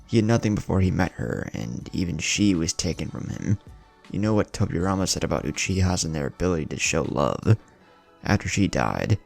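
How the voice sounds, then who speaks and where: A man playing an earnest, sombre ninja father who speaks in a measured, reflective way in an anime fan story. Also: sits low, vocal fry, full character voice.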